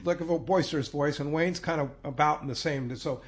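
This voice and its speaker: A man with a soft voice co-hosting a fishing radio show in an easygoing, gentle way. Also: on the high side, a little fast, a crowd in the background. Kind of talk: casual radio chat.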